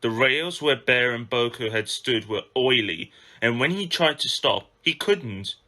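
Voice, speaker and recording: Bright-voiced man reading a story. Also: mid-range voice, animated, a little slow. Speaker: amateur storybook narrator. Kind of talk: scripted children's story narration.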